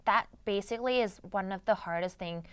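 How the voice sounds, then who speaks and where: A woman's voice: medium pitch, fairly quick, a bit bright, smooth, dry recording. A young woman from Thailand, a native Thai speaker, answering interview questions in a casual conversational way. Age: young adult. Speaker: interview guest, pageant titleholder and journalism student.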